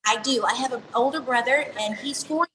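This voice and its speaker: A woman singer, born in New Jersey and raised in Houston, chatting casually in an interview with quick slangy asides. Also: high-pitched, sharp tone, slight background noise, rough recording. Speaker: R&B singer, guest.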